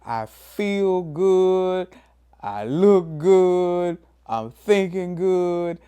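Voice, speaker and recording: A man's voice: drawn-out words, mellow, clean tone. Emphatic fifty-year-old preacher man. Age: 50s. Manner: preacherly, emphatic, swings pitch up and down for emphasis, says "man" often. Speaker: pastor and show host. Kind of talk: motivational talk.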